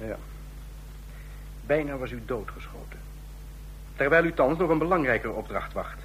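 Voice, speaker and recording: An actor in a radio play playing a stern, condescending ambassador of a fascist state, speaking in formal, measured officialese. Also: male voice, mid-range voice, animated, a bit bright, lightly acted, poor audio. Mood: businesslike, commanding. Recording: clear hum and noise, old radio recording.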